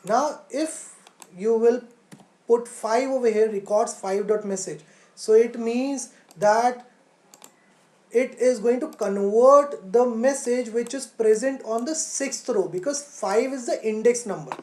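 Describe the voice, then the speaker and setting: A woman teaching a live online class step by step, thinking aloud haltingly, repeating key phrases and posing questions that she then answers. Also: a little high, darkish tone. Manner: poses questions and answers them. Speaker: coding teacher. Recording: roomy, echoey sound.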